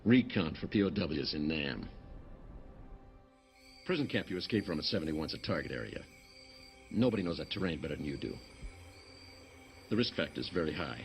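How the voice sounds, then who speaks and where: An actor playing a stern, seasoned army colonel in dramatic, commanding action dialogue. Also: male voice, extremely low pitch, lively intonation, moderate pace, gravelly, pauses often, soft background music, heavily acted. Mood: earnest, measured.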